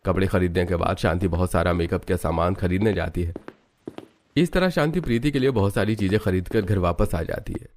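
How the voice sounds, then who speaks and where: A man's voice: sits low, a little fast, darkish tone, a little hiss or hum. A man narrating a scripted moral story as its storyteller.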